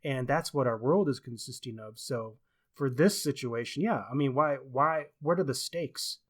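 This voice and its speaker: A man's voice: medium pitch, moderate pace. Opinionated man discussing books, emphatic delivery. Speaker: podcast co-host and book reviewer. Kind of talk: casual book discussion.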